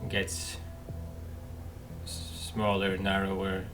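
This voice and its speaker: A man hosting a game playthrough, giving thoughtful commentary in a halting way, as if working it out aloud. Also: sits low, monotone, music in the background. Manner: halting, thinking aloud with long pauses. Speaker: gaming playthrough host.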